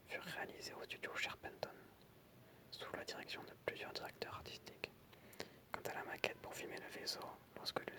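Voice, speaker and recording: An ASMR creator whispering in French, reading aloud softly with informal, hesitant asides.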